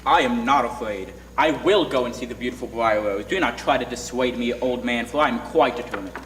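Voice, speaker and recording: A man's voice: medium pitch, brisk, a bit bright, natural voice. A man playing a bold, determined young prince in a readers theatre fairy tale. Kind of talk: readers theatre stage reading. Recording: echoey hall with faint noise.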